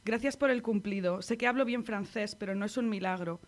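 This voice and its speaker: Spanish woman, mid pitch, reads her own poem, measured and intimate. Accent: Spanish from Spain. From Spain. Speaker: poet reading her work. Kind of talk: poetry reading.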